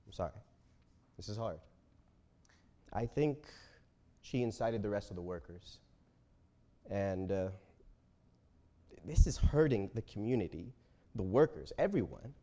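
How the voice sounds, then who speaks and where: A man in a staged reading playing an embattled company boss who feigns wounded dignity, speaking haltingly and solemnly. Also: on the low side, dark tone. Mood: pained, halting. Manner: halting, self-pitying, solemn denials. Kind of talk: staged play reading of a TV interview.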